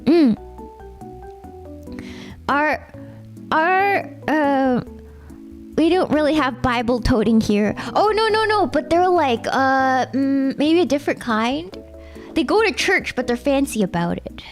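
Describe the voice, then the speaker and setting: Chatty high-voiced Canadian woman streamer. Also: lively intonation, takes it slowly, pauses often, soft background music. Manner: chatty, rambling, addresses viewers as Cookie. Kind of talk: casual chat with chat readers.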